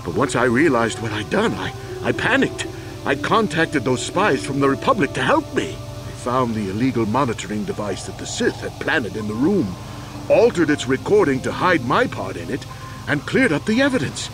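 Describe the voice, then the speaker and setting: An actor playing a proud, weary old war veteran accused of a crime, earnest and deliberate, in a video game. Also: male voice, mid-range voice, sing-song, music in the background, natural voice. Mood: grave, confessional. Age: older.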